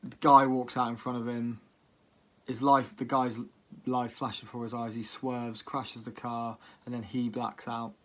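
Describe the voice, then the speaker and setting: A man's voice: mid-range voice, level tone, a little slow, fairly bright tone, slight background noise. A man giving casual spoken commentary as a music reaction vlogger, with a hesitant, rambling delivery.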